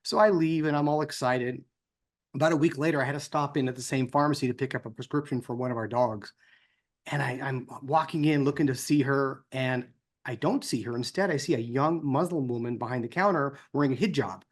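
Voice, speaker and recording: A man's voice: mid-range voice, fairly quick. An American man giving an informal, conversational speech as a co-founder, in the manner of someone telling personal anecdotes. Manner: conversational, acts out dialogue in anecdotes.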